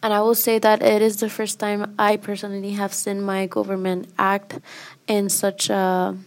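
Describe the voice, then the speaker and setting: A woman's voice: medium pitch, monotone, normal speed. A woman with a Guatemalan accent, a native Spanish speaker, giving a first-person news report as a citizen correspondent.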